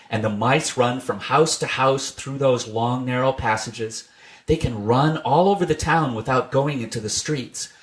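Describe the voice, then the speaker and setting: A man reading a children's tale aloud as scripted storybook narration. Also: on the low side. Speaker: storybook reader.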